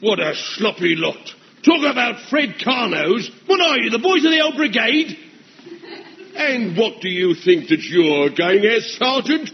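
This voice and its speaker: A man's voice: sits high, sing-song, a little slow, gravelly, lo-fi sound, exaggerated voice. An actor playing a brash, mocking army drill sergeant who barks orders and laughs at his own jokes. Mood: jeering, bossy. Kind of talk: sitcom acting.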